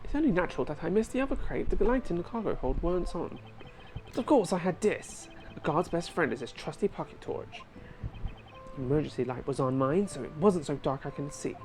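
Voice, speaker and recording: Woman playing a boastful male guard, low voice, self-important, fan game dub. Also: lively intonation, brisk, darkish tone, soft background music.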